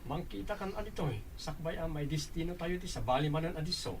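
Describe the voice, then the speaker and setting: A man's voice: a little high, animated, quick pace, a little hiss or hum. A man acting an easygoing, lovestruck young villager in an Ilocano comedy radio drama, casual and eager. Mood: bantering.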